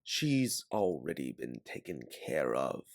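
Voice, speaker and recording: Guy doing a rich nasty crime lord for an audiobook, gravelly, bossy, voice swoops around, super dry sound. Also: a bass voice, big pitch swings, slow, dry recording, heavily acted. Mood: cold, commanding. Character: haughty, menacing wealthy crime boss with claw-like nails. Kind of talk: audiobook character voice.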